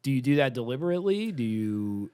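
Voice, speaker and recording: A male podcast host talks in easygoing interview chat. He is animated and talkative and swears freely. His tone stays loose and casual. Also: medium pitch, fast, clean tone. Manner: animated, swears freely, chatty with frequent laughs.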